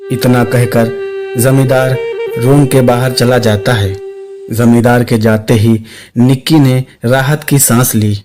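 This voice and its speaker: A man's voice: medium pitch, steady tone, slow pace, a little mellow. A man narrating a scripted suspense story, with music playing behind his voice. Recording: clear music under the voice.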